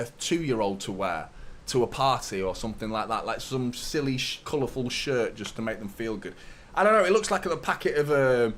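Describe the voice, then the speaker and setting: A man in his thirties with a slightly hoarse voice, hosting a live football fan stream, chatting to viewers and ranting with strong opinions. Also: mid-range voice. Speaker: host of a football fan watchalong. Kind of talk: live stream chat and commentary.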